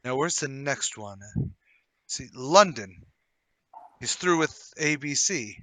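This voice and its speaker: A man playing a hearty, brash English-gentleman type with theatrical banter in a radio play table read. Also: mid-range voice, expressive, takes it slowly, dry recording, rough recording. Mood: grave, urgent. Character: cheery, brash gentleman brother-in-law with hidden cunning.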